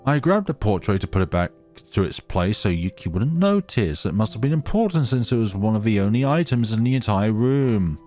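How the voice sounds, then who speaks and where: A man's voice: fairly low pitch, big pitch swings, a little fast, few pauses, darkish tone, soft background music. A man hosting a gaming video, reading a visual novel aloud dramatically with casual, wry commentary.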